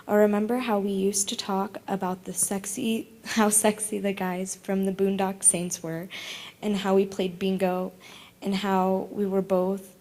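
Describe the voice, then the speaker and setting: A woman's voice: medium pitch, a little mellow. A woman reading a written personal tribute aloud at a memorial service. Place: memorial service in a room full of people.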